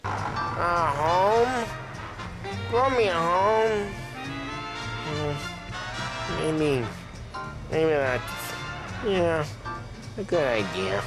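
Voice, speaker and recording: A man's voice: fairly high pitch, expressive, extremely slow, pauses often, over music, exaggerated voice, low-quality recording. An actor playing a sloppy, woozy, childishly stubborn drunk man who slurs and rambles, in a video game cutscene. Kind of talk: cinematic video game acting.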